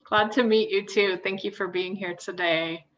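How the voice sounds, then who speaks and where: A woman's voice: medium pitch, fairly even intonation, normal speed, fairly bright tone. A woman hosting an orientation video call, calling on each person in turn with a friendly, upbeat manner. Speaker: orientation host.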